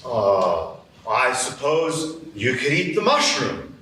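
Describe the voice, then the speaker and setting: A man's voice: medium pitch, sing-song, slow pace, pushed and bright, exaggerated voice. A man voicing a laid-back, vague caterpillar who drawls with hesitant fillers in a live radio play. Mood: drowsy. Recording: roomy stage from a distance, faint hiss.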